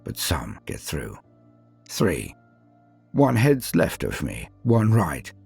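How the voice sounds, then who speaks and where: Guy doing a grizzled space marine captain war monologue, super deep, dramatic swells and drops, proud n cold, faint music behind. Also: wildly swooping pitch, gravelly, darkish tone, husky, full character voice. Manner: solemn, measured, dramatic pauses. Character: battle-hardened veteran space marine captain, proud, coldly resolute. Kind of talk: dramatic first-person sci-fi war monologue.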